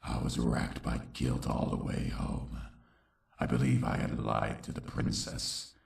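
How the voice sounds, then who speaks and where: A man playing a grim, brooding male unicorn who tells the story of his youth in gravelly, dramatic narration, recorded with echo. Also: very deep voice, completely flat delivery, measured pace, full character voice. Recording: roomy echo, distant voice.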